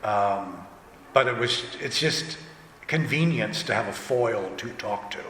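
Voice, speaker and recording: A man talking casually as a director and actor on a convention panel, chatty and halting, often restarting his thoughts. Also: fairly low pitch, animated, fairly bright tone. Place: large convention panel room. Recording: echoey hall, distant, noisy room. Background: room ambience and noise.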